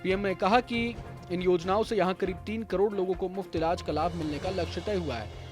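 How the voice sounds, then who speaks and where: Male news voice-over, noticeably high voice, scripted report read over a prominent music bed. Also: steady tone, a little fast. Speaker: news narrator. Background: prominent background music.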